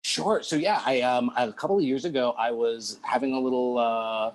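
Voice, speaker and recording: A man's voice: medium pitch, moderate pace, no echo. A man talking as a podcast guest, reflective and sometimes searching for words. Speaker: guest, medicine practitioner. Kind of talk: casual interview chat. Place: Sao Paulo, Brazil.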